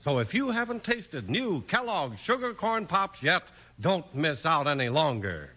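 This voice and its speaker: A man's voice: on the high side, sing-song, measured pace, sharp tone. A man announcing and narrating a 1950s radio show in a polished, emphatic showman's delivery. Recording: old 1950s radio recording.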